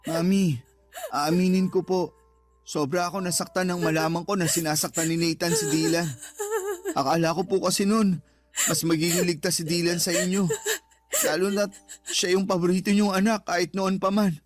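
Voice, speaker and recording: A man's voice: high-pitched, flat delivery, normal speed, soft background music, lightly acted. A man playing an earnest, respectful, protective young adult son in a Filipino radio drama, speaking with emotion. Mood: hurt, forgiving. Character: earnest, protective grown son and older brother.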